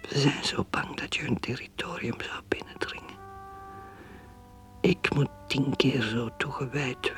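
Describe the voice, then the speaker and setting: A woman's voice: a bass voice, a bit bright, exaggerated voice. An actress playing a bitter, ambitious middle-aged mother who drinks, in an intense, dramatic radio play style. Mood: whispered brooding. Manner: intense, dramatic, swinging between speech and whispered brooding. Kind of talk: radio drama acting, dialogue and inner monologue.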